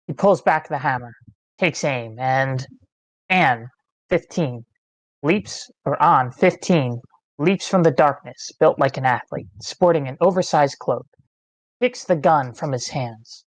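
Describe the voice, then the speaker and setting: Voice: mid-range voice, slow pace, no room sound, rough recording. A narrator reading screenplay stage directions in terse, dramatic phrases. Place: online meeting, close dry mic.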